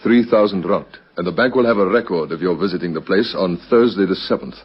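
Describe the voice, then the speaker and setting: An actor playing a calm, relentless police captain, measured and formal, in an old radio crime drama. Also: male voice, low-pitched, husky. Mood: pressing, accusing. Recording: muffled vintage radio recording.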